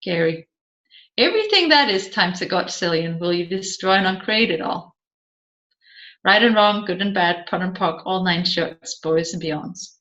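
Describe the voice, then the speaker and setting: A woman narrating an audiobook of a seminar in a deliberate, emphatic, questioning manner. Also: on the low side, animated, fairly bright tone. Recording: slightly dull, roomy echoing sound.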